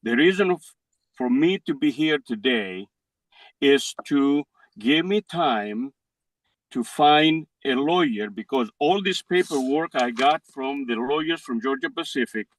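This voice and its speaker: An older man with a Greek accent, Greek his first language, pleading his case to a judge in halting, broken English. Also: medium pitch, animated, poor audio. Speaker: defendant in court. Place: courtroom.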